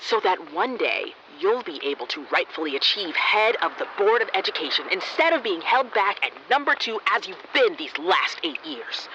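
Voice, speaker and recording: A woman's voice: medium pitch, sing-song, normal speed, few pauses, bright, forceful tone, a little hiss or hum, poor audio. A woman playing an eager, formal and anxious radio field reporter in a comedy audio drama. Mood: earnest, apologetic.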